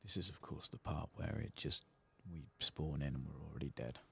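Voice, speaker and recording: A man doing soft-spoken ASMR game commentary, husky and breathy, often slipping into a whisper, with casual, self-mocking muttering. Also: a bass voice, fairly even intonation, moderate pace. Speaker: host of a game playthrough.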